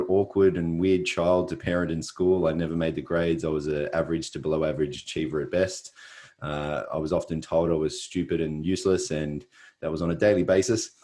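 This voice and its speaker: Aussie guy with a really deep voice hosting a webinar, earnest, lots of uh's. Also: warm tone. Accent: Australian. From Australia. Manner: earnest, repetitive, frequent "uh" fillers. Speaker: webinar host. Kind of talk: motivational presentation.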